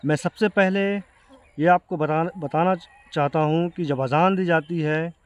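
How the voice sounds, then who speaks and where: A man with an Indian accent giving an earnest explanation to viewers, speaking haltingly and repeating himself. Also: fairly high pitch. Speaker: video creator addressing viewers. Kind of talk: explanatory talk to camera.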